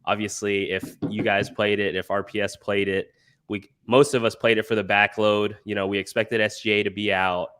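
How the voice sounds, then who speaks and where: A man's voice: fairly low pitch, flat delivery, normal speed, fairly bright tone, smooth. A congested man with a nasal sound, giving rambling, frustrated sports fantasy analysis. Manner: rambling, frustrated, filler-heavy. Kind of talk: casual analysis chat.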